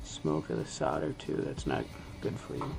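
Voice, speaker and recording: A man's voice: rumbling low, huge pitch swings, normal speed, gravelly, music in the background. A man hosting a DIY video, talking through a hands-on tutorial in a casual, informal way as he thinks aloud.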